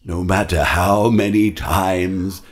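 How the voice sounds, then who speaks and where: A man voicing a grim, determined animatronic elephant magician in a game, with a husky voice. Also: low-pitched, steady tone, slow. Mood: grimly resolved. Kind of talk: game character voice lines.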